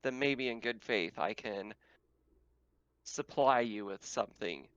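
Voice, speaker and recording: A man's voice: medium pitch, measured pace, fairly bright tone, clean tone, no echo, highs cut off, lightly acted. Man acting a blunt, furious corporate woman. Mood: grudging, curt. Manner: blunt, scolding, foul-mouthed. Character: tough, furious female corporate dealmaker over a comm.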